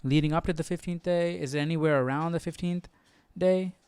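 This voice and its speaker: A man's voice: fairly high pitch, fairly even intonation, brisk, darkish tone, clear, resonant tone, dry recording. A man hosting a Bible study livestream, thinking aloud in an informal, musing way and often repeating himself. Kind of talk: casual chat, thinking aloud.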